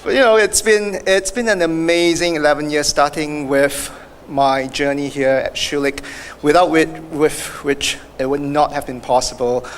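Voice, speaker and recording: A man in his thirties from Singapore, with a Singaporean accent, giving a halting acceptance speech full of fillers and restarts in an event hall. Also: a little high, steady tone. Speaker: award recipient. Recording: echoey hall with faint noise.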